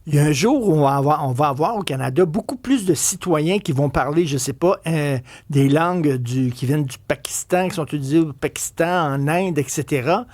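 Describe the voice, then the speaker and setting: A man's voice: on the high side, a little fast, hardly any pauses, a little mellow, dry recording. A man with a Québécois accent hosting a talk radio interview, asking probing questions in a conversational way with hesitant restarts. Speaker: radio host.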